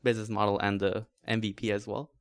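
A young man from Bangladesh, a student co-founder, telling his story at a relaxed pace in an interview. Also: fairly low pitch, fast talker, creaky, no room sound, clean studio sound. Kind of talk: interview answers.

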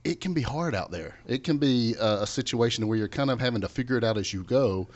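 A man's voice: medium pitch, lively intonation, quick pace, muffled recording. A man hosting a conversational local business radio interview in an informal, bantering way. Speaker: radio show host.

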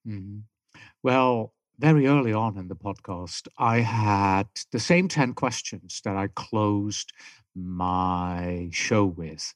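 A man's voice: on the low side, slow pace, no echo. A middle-aged man with a mixed British and German accent, a native German speaker, tells his story in an easy, rambling way, often restarting a sentence. The recording is dry and close, with almost no room sound. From Switzerland. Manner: relaxed storytelling, frequent restarts. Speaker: podcast guest. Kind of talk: casual interview chat.

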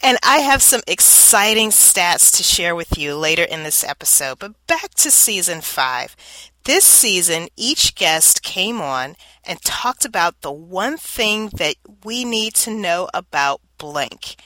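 A woman's voice: fairly low pitch, very animated, slow, bright, forceful tone, dry recording, rough recording. A woman from Louisiana hosting her solo podcast in an upbeat, explanatory way, a little nasal and congested from allergies. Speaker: podcast host.

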